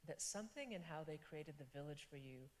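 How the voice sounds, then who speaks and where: A woman host interviewing a guest, asking questions in a halting way with many restarts. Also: low voice, expressive. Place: church forum hall. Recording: echoey hall with faint hiss.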